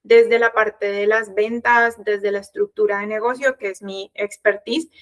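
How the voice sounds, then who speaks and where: A woman's voice: mid-range voice, fairly even intonation, unhurried. A woman in her thirties with a Colombian Spanish accent, presenting an online workshop conversationally as a sales coach.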